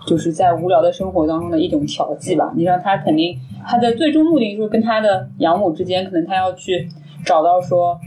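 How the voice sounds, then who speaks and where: A woman's voice: lowish voice, a little fast, warm tone, rough recording. A woman co-hosting a podcast in casual chat, with an informal, loosely phrased, blunt way of talking. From Shanghai, China. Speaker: podcast co-host.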